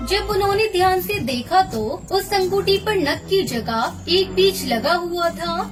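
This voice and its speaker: Female narrator, very high voice, scripted children's fable read over background music. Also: expressive, measured pace.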